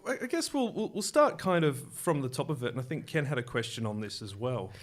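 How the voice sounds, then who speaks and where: Podcast host, man, chatty, expressive intonation. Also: medium pitch, a little fast. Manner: friendly, leading the conversation. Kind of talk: casual podcast chat.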